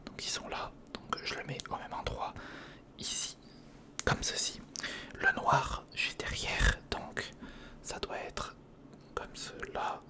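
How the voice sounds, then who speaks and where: A man speaks in a breathy whisper close to the microphone, murmuring casual hands-on ASMR commentary in fragments, as if thinking aloud. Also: slow, long pauses. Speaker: ASMR video creator. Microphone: close recorder microphone.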